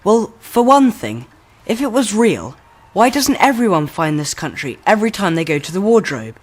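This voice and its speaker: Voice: mid-range voice, very animated, normal speed. A performer playing an earnest, polite schoolboy who questions his elders respectfully in a radio drama. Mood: puzzled, sceptical.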